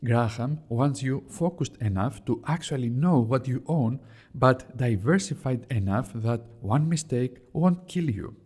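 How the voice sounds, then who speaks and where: A man with a Greek accent talks through a classic investing book as a scripted lesson. His voice is husky and sits at an ordinary middle pitch, and his tone stays plain and direct. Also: expressive, unhurried, a little mellow. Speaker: host of investing book series. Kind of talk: scripted explainer narration.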